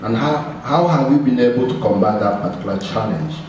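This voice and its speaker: A man in the audience asking the panel a question in a measured, deliberate way. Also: medium pitch, darkish tone. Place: large assembly hall. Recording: echoey hall from far off, faint noise.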